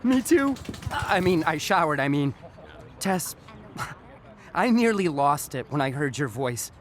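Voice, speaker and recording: A man playing a gentle, smitten, apologetic big fellow with earnest, rambling delivery in an audio drama. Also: a little high, big pitch swings, measured pace, crowd noise. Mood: bashful, smitten.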